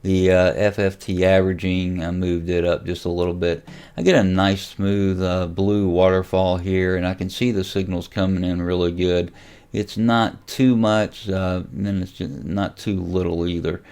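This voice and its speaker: Low-voiced man giving a casual software walkthrough. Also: level tone, moderate pace, clean tone. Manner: unscripted, hesitant, thinking aloud as he goes. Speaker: tutorial host and amateur radio hobbyist.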